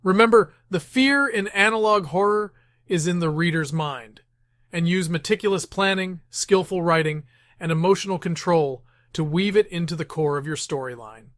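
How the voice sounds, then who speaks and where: A man reading scripted instructional narration in a measured, deliberate, step-by-step way. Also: sits high, a bit bright, no echo, studio quality. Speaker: host of writing tips series.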